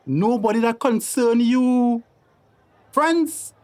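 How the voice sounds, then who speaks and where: A man's voice: very high voice, takes it slowly. An actor playing a bitter, grasping, middle-aged man in a radio drama, with a Tobagonian accent and a taunting, blustering manner. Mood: taunting, defiant. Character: Cuthbert, bitter, grasping, hot-headed man claiming paternity.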